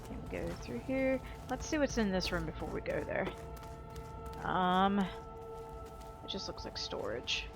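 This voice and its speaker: A woman's voice: medium pitch, sing-song, measured pace, gravelly, pauses often, over music. A woman giving informal gameplay commentary, thinking aloud as she explores. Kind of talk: casual game commentary.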